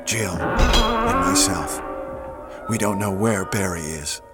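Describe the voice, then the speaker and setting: A man playing a grave police survivor narrating a 1990s horror game intro, solemn, stilted and halting. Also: fairly low pitch, huge pitch swings, loud music behind the voice, full character voice.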